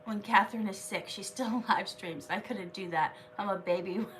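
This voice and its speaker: A woman's voice: medium pitch, moderate pace, fairly bright tone, distant mic, a little hiss or hum. A woman hosting a casual live stream, chatting with viewers and reading their comments aloud while she has a cold and keeps coughing.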